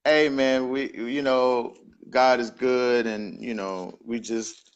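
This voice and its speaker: A man's voice: medium pitch, fairly even intonation, slow, clean tone, dull audio. A man from Georgia with a Southern accent, a guest telling his story in an easygoing, upbeat way on a video call. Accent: Southern American. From Georgia, USA. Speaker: guest, crypto device distributor. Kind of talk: casual interview over video call.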